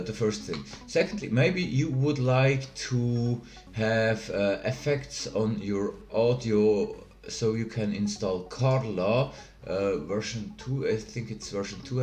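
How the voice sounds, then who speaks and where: Brief: Swiss German man, low voice, unhurried and hesitant, tutorial narration in a roomy space. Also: darkish tone. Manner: hesitant, halting with many fillers. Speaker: software tutorial maker. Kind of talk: screen-recorded software tutorial.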